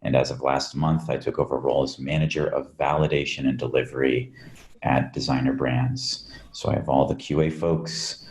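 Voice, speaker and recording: Very deep-voiced man in a roomy space. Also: flat delivery, moderate pace, darkish tone. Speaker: panelist, QA manager. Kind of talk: casual panel talk. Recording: roomy echo.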